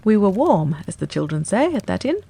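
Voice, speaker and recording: A woman doing a keen, brisk detective man. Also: mid-range voice, sing-song, mellow, slightly rough. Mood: cool. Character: brisk, keen-minded male detective, cool and confident. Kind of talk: audiobook character reading.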